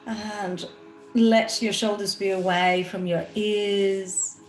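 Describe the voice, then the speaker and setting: A woman leading a guided meditation as a yoga nidra teacher, speaking in a soothing, instructional manner with long pauses. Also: medium pitch, fairly even intonation, faint music behind. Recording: roomy, echoey sound.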